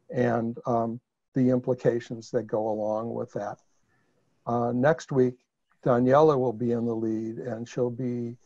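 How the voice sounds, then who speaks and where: A man's voice: mid-range voice, warm tone, dry recording. A man with a Chicago accent presenting a webinar over a video call, talking conversationally with fillers and restarts. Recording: muffled video call audio.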